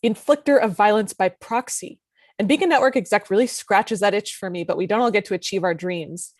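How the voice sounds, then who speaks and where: A woman's voice: mid-range voice, brisk, darkish tone, dry recording, close to an everyday voice. A woman reading the part of a sardonic, dismissive female TV network executive in a comedy table read. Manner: dry, dismissive, caustic.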